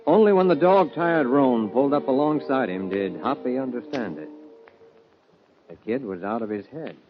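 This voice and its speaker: A man's voice: medium pitch, lively intonation, moderate pace. A man announcing and narrating a 1950 radio western in a dramatic, declamatory style. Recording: dull old radio recording over music. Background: loud music.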